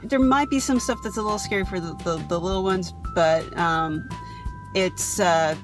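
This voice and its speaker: A woman's voice: lowish voice, moderate pace, music in the background. A woman co-hosting a casual movie review, mostly giving short agreeing replies. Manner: brief agreeing replies, laughs along. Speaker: co-host.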